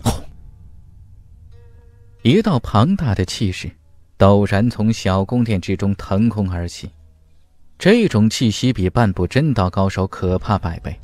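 Animated male audiobook narrator. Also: on the low side, a little slow, pauses often, no echo. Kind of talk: dramatic scripted fantasy narration. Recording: dry studio voice over music.

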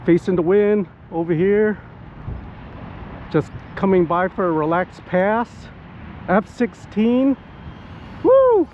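A man with a high-pitched voice gives casual, excitable commentary while flying as a hobby pilot. He talks unhurriedly, addressing viewers as he goes. The outdoor recording is slightly muffled. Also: slow, pauses often. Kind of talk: casual live flight commentary. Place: outdoors at a flying spot in wind and rain. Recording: muffled outdoor audio with background noise.

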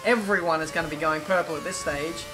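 A man with a British accent talking over his racing game in a chatty, excitable play-by-play, his voice a little croaky. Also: on the high side, quick pace, over music. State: slightly croaky. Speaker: gaming YouTuber.

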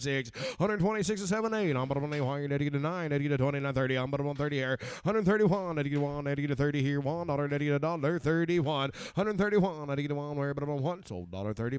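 A man calling a cattle auction in a rapid, rhythmic number chant. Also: a little high, clean tone, no room sound. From United States. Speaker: livestock auctioneer. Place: indoor livestock sale barn.

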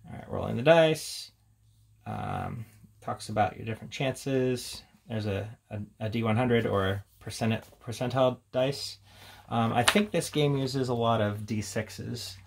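Casual man with a creaky voice. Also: mid-range voice, measured pace. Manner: hesitant, informal, thinking aloud. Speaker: host of a tabletop gaming channel. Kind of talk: casual unscripted product walkthrough.

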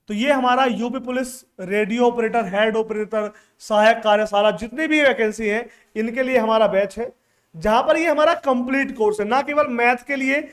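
A man, lecturing as a coaching teacher, emphatic and repeating key phrases into a headset mic. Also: very high-pitched. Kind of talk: explanatory lecture to camera. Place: indoor classroom studio in front of a digital board.

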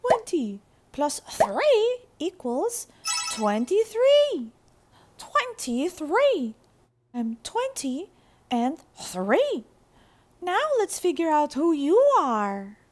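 A woman narrating and voicing toy characters for children in a bubbly, animated way, with drawn-out exclamations. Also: sits high. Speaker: toy channel storyteller.

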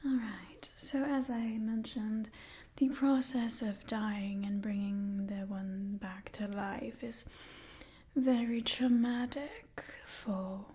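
A young woman playing a haughty, doting immortal vampire in a whispered ASMR roleplay, speaking softly and breathily in a refined, coaxing way. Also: medium pitch, fairly even intonation, lightly acted. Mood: calm, instructive. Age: young adult. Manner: slow, refined, coaxing, faintly imperious. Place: small indoor set with wooden backdrop. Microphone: close mic, out of frame. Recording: close-miked whisper, noticeable hiss, soft clicks.

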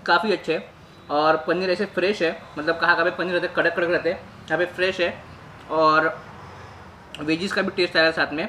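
Upbeat young man with a high voice. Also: fairly quick, a little hiss or hum, rough recording. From Maharashtra, India. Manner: chatty, loud and upbeat to camera outdoors, quieter and relaxed while eating. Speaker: food vlogger. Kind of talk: casual food review vlog. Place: outdoor street beside a bus, then inside a bus restaurant. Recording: background music under the voice.

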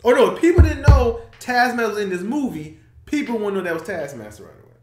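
A young man hosting a casual movie reaction chat, excitable and animated, sometimes trailing off into low mumbles, recorded on a webcam in a small room. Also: fast, reverberant. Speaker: reaction video host. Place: small room indoors, seated.

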